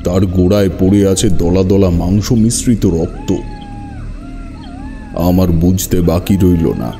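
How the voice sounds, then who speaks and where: A man's voice: sits low, dark tone. A man narrating a horror story dramatically in the first person.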